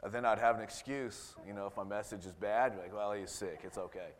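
Rough-voiced man preaching in reverberant hall. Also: fairly low pitch, steady tone, fairly quick. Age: 30s. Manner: conversational with frequent ums and restarts. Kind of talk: sermon with scripture reading.

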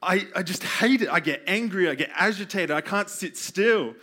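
A man preaching a sermon with personal stories, earnest and emphatic, using repetition and deliberate pauses. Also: sits high, lively intonation, bright, forceful tone. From Australia. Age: 20s. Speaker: youth pastor preaching.

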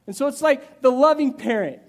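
Man, 40s, very high pitch, pastor, animated conversational preaching, talks straight to the congregation. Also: brisk. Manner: restarts and asides to the audience. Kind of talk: sermon.

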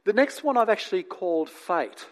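A man from England who grew up in New Zealand and lives in Australia, preaching in a conversational, teacherly way with asides to his listeners and deliberate pauses. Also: high voice, animated. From born in England, grew up in New Zealand, lives in Australia. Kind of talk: conversational sermon to an audience.

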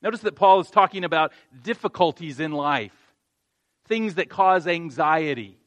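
A man's voice: on the high side, expressive, normal speed, a bit bright, lo-fi sound. A pastor giving a conversational sermon, telling a personal story and then urging his congregation with earnest emphasis. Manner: conversational with self-deprecating laughs, rising to earnest emphasis.

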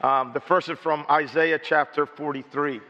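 A slightly hoarse man preaching a sermon and reading scripture, chatty and self-joking, with stammered restarts. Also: a little high, steady tone, moderate pace. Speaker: pastor. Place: church sanctuary. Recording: dull sound with long hall reverb.

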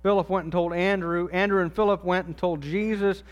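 A man preaching a sermon in a conversational way, dropping low and soft on key phrases. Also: fairly even intonation, a little fast, clear, resonant tone, lo-fi sound. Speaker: pastor.

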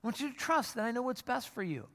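A pastor voicing a gentle God asking for trust. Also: mid-range voice, very animated, quick pace, pitched above the performer's own voice. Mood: reassuring. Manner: gentle, reassuring, pleading for trust. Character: kindly God speaking to first humans. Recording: long reverb of a large hall.

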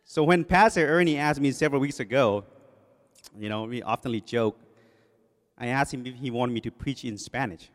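A man's voice: medium pitch, normal speed. A man in his forties with a Thai accent, a guest preacher talking from the podium in a joking, self-deprecating way with many fillers. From Thailand. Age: 40s. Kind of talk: sermon introduction. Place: church sanctuary. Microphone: podium microphone. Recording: long reverb of a church hall.

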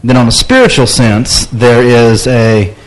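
A man's voice: medium pitch, fairly even intonation, unhurried, rough recording. A man in a church discussion group giving an earnest, reflective answer.

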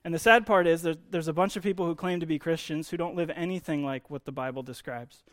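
A man's voice: fairly high pitch, fast. A man giving a conversational sermon, explaining Bible teaching in an informal way with emphasis on key words.

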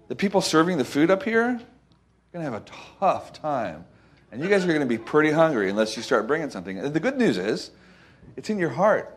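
A man giving an informal, conversational sermon as a preacher, folksy and halting, repeating phrases and checking with his listeners for agreement. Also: on the high side. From Costa Rica.

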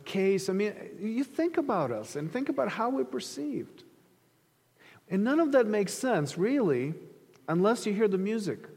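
High-voiced male preacher in a reverberant hall. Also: darkish tone. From Ukraine. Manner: conversational, illustrative storytelling. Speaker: pastor preaching to a congregation. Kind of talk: sermon. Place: large reverberant room, preaching to an audience.

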